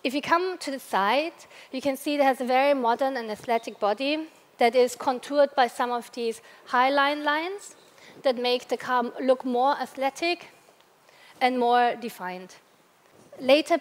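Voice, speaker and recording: A woman with an Austrian accent presents a product at a press event. She has a somewhat high voice and explains features step by step, now and then pausing or restarting a word. A long hall reverb trails after her voice. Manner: explanatory, with occasional hesitations and restarts.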